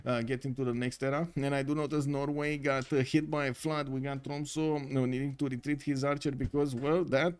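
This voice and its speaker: Caster, man, Romanian accent, slightly high voice, rambling live commentary, reads chat aloud. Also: a little mellow, clean tone. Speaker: esports caster.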